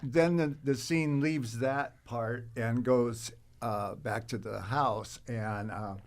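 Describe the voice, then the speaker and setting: A man with a medium-pitched voice talks casually among a group. His storytelling is conversational and a little halting. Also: fairly even intonation. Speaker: podcast guest, actor. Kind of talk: casual chat.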